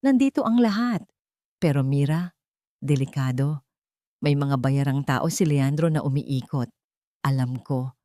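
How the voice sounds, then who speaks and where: A woman voicing a tired, sorrowful male lawyer in an audio drama story, his voice deep and weary. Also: measured pace, pauses often, warm tone, no echo, close to an everyday voice. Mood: worried.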